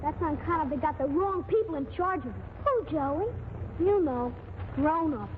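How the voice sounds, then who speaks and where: A woman's voice: high-pitched, lively intonation, normal speed. A woman playing cheeky, bickering young children in a 1940s radio comedy, with slangy childlike talk. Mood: squabbling. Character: cheeky, grumbling young boys and a bossy little girl. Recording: tinny, noisy 1940s radio recording.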